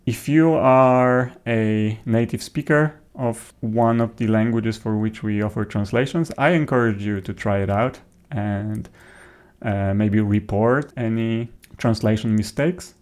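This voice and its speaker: Male software presenter, native Polish speaker with Polish accent, lowish voice, halting informal demo delivery. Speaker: software developer presenting a tutorial.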